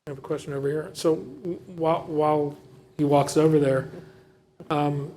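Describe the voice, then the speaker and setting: A man's voice: on the high side, normal speed, mellow. A man moderating a panel, calling for audience questions with short friendly prompts.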